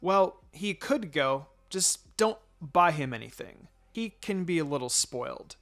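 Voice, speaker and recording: A man's voice: fairly high pitch, expressive, a little slow, a bit bright, soft background music. A man voicing a stubborn, pouting little boy in a story reading, cheeky and whiny as he bargains. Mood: pouting, pleading.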